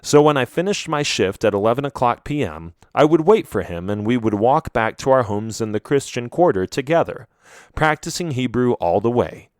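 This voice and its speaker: A middle-aged man from Jerusalem, a native Arabic speaker, reading his own memoir in English in a measured, earnest way. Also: mid-range voice, expressive, no echo, clean studio sound. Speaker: author narrating his memoir. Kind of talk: audiobook memoir narration.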